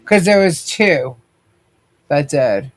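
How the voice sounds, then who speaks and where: A woman's voice: low voice, expressive, slow pace. A woman from New York with a New York accent, co-hosting a casual legal commentary livestream and breaking off mid-sentence to ask for files. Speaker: livestream co-host.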